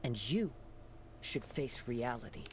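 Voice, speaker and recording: A man playing a calm, principled young wandering swordsman in a video game, speaking in measured, earnest, formal phrases. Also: mid-range voice, crowd noise, natural voice. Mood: firm, challenging. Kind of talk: dramatic video game voice acting. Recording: game music behind the voice.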